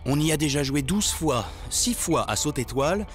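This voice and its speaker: A man's voice: on the high side, lively intonation, normal speed, close to an everyday voice. A man voicing an affectionate but weary father with a coaxing manner in a children's cartoon. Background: music.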